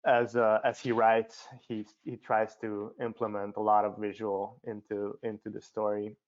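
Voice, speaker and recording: A low-pitched man with a Hungarian accent talks as a guest in a panel conversation. He feels his way through sentences, often repeating words. The sound is dull, like a video call. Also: monotone, measured pace. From Budapest, Hungary. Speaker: cinematographer, interview guest. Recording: muffled lo-fi online call.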